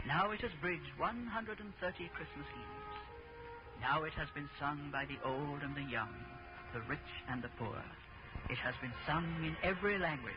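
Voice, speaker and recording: Loud music swells behind a muffled vintage radio recording. A man with a slightly high voice narrates solemnly, in the measured storytelling manner of 1940s radio drama.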